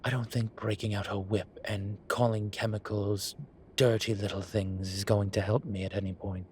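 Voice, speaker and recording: A man playing an ancient, bookish incubus demon professor in an audio roleplay, dry and exasperated, with halting, sighing delivery. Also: fairly low pitch, level tone, slight background noise, close to an everyday voice. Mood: exasperated, teasing. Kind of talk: audio roleplay acting, intimate one-sided dialogue.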